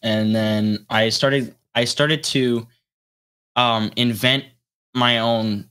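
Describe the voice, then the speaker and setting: A young adult man chatting casually as an interview guest, with a halting, rambling delivery. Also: mid-range voice, fairly even intonation, sharp tone, smooth. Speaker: guest, solo electronic musician.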